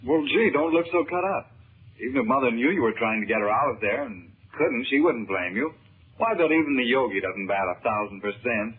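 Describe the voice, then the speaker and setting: An actor playing an eager, worried teenage son in a 1930s radio serial, boyish, earnest and slangy. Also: male voice, mid-range voice, animated, brisk, slightly rough. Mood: earnest, consoling. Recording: muffled, tinny 1930s radio recording.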